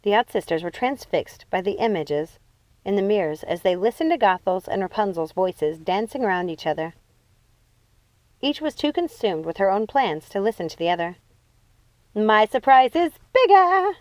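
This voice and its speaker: A woman reading a children's fantasy novel aloud as expressive scripted narration. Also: medium pitch, normal speed, dry recording. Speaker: audiobook reader.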